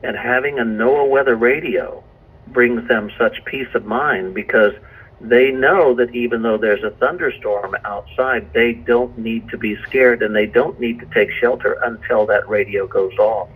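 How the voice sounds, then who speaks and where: Meteorologist, male, medium register with a husky edge, speaking earnestly and deliberately. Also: mid-range voice, steady tone, fairly bright tone, rough recording. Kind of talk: earnest testimonial talk.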